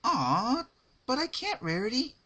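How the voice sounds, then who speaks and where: A man's voice: extremely high pitch, sing-song, unhurried, pushed and bright, voice pushed up, exaggerated voice. A man voicing a cheery, cruel female party pony with a sugary, taunting delivery in a fan-fiction dramatic reading. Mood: cheerful, gloating.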